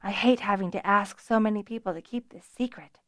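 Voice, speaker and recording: A woman's voice: medium pitch, brisk, dry recording, studio quality, close to an everyday voice. A woman voicing a distressed, wounded young female dragon rider who is emotional and apologetic, in an audiobook story. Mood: regretful, weary.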